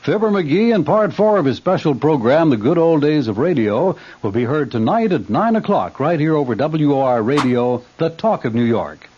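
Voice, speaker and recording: A man's voice: on the high side, lively intonation, moderate pace, hardly any pauses, darkish tone, slightly rough. A man reading scripted radio spots as an announcer. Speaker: station and ad announcer. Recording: muffled old radio broadcast, music behind. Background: music.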